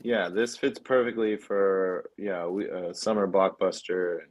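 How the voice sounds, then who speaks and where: A man's voice: sits low, fairly even intonation, a little slow, lo-fi sound. A man co-hosting a podcast, casually reading out film statistics and prompting the others with short questions. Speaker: podcast co-host.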